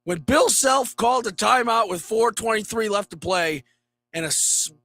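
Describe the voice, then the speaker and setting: A young man talking sports on a radio show, his voice hoarse from shouting, joking in loose banter. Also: sits high, lively intonation, normal speed, dry recording, soft background music. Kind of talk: casual sports chat. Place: radio studio. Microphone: studio mic.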